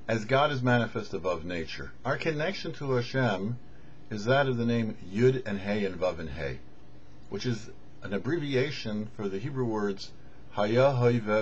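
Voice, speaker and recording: A man, a rabbi, giving scripted religious teaching with deliberate, emphatic phrasing and pauses. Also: fairly low pitch, highs cut off, slight background noise. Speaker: rabbi, host of a weekly Torah show.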